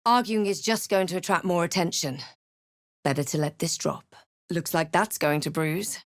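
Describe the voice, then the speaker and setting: A woman playing a snarky, wary female adventurer in a fantasy video game, with a crisp, terse and dramatic delivery. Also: lowish voice, normal speed, dry recording, studio quality, natural voice. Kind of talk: fantasy video game lines, short remarks and narrated thoughts.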